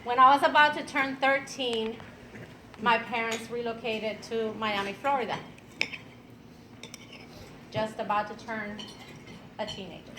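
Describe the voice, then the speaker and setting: A woman, telling her personal story at an event in an unhurried way with fillers and light laughs. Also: fairly high pitch, steady tone, noisy background. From Venezuela. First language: Spanish. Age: 50s. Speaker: speaker at an event. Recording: clattering dishes and cutlery behind.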